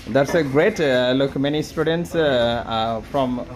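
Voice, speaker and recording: Halting Bangladeshi man vlogging in a crowd. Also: medium pitch. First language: Bengali. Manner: hesitant, many fillers, halting non-native English. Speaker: vlogger host. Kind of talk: casual walkaround vlog. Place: busy coffee training room.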